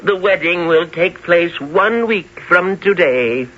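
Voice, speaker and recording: A man playing a fussy, scheming king and father in a radio fairy tale, pompous and deliberate, hemming as he goes. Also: high voice, animated, full character voice. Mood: grand, hesitant. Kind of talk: 1970s old-time radio drama acting. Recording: muffled 1970s radio recording.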